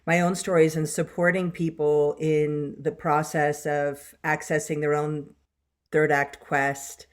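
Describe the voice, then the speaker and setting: An older woman, an actress and workshop leader, speaking in a measured, heartfelt way on a video call. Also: low-pitched. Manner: measured, heartfelt, even-toned.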